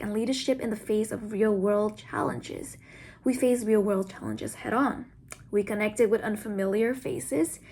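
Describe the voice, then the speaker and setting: High-voiced young woman giving a speech. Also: a little mellow. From Vietnam. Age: around 20. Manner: earnest, formal. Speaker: student speaker. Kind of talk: prepared speech.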